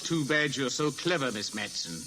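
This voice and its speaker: A man's voice: medium pitch, very animated, normal speed, natural voice. An actor playing a smug, menacing male killer, sardonic and coldly polite, in 1940s radio style. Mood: smug menace. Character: menacing, smug male murderer holding a gun. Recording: faintly hissy 1940s radio recording.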